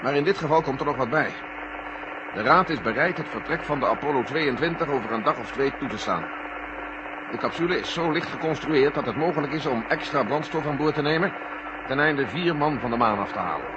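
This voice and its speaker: Older military commander, played by a man, candid and deliberate, mid-pitched, muffled vintage radio-play sound. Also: expressive, close to an everyday voice. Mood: frank. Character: gruff, candid older male general heading space mission. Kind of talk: 1970 Dutch radio drama acting. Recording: dull, noisy old radio recording.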